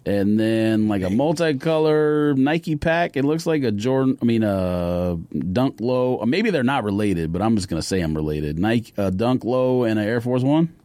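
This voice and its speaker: A man's voice: medium pitch, expressive, normal speed, hardly any pauses, warm tone, no room sound. A man with an American accent co-hosting a sneaker podcast, chatting casually with slangy, teasing banter about shoes and sports.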